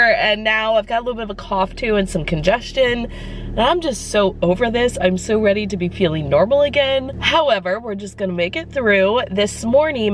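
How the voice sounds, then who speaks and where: A woman's voice: mid-range voice, a little hiss or hum. A young woman who is a teacher, chatting upbeat to the camera in a casual vlog while she has a sore throat and is losing her voice. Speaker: elementary school teacher vlogger.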